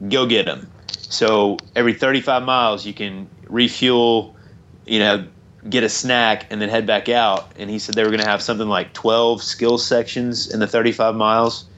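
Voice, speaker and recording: A man's voice: on the low side, steady tone, moderate pace, fairly bright tone, lo-fi sound, slight background noise. A man in his late thirties from Tennessee, a dirt bike racer talking as an interview guest, modest and plain-spoken, often repeating words as he starts sentences. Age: late 30s.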